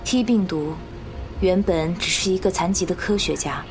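An actress playing a guarded fugitive woman, an escaped bioweapon, speaking gravely and in a measured way in a radio drama. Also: lowish voice, close to an everyday voice. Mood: somber. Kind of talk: dubbed Chinese radio drama acting. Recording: music bed under the voice.

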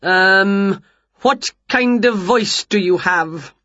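A woman's voice: medium pitch, extremely slow, sharp tone, no echo, highs cut off, full character voice. A woman voicing a fussy, weary, worried male choir conductor in a children's storybook, flustered and hesitant.

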